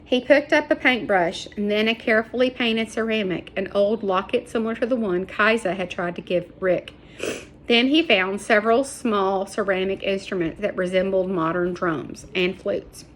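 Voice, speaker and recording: A woman reading a children's novel aloud as an expressive storyteller. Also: mid-range voice, normal speed, a little hiss or hum, rough recording. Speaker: storybook reader. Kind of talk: scripted narration, children's book read aloud.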